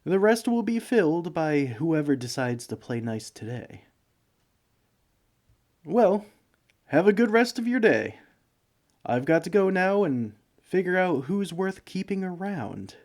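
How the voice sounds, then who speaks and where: A man's voice: high voice, sing-song, a little slow, pauses often, a little mellow. A man playing a bumbling, broke villain neighbor in a comedic audio roleplay, speaking in a hesitant, pleading, self-justifying way. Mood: ingratiating. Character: broke, bumbling, sheepish male supervillain neighbor begging for sugar. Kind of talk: comedic ASMR roleplay monologue.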